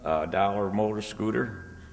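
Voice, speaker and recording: A man's voice: on the low side, measured pace, creaky, faint music behind. A man playing a folksy small-town storekeeper in an old radio comedy, bargaining a little hesitantly. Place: stage in a hall before a live audience. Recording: echoey hall, long reverb.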